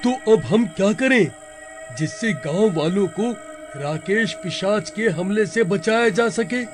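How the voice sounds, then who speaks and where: Man acting anxious high-voiced village man. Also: lively intonation, slow, over music. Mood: worried. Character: alarmed, concerned village man. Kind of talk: Hindi horror-comedy audio storytelling.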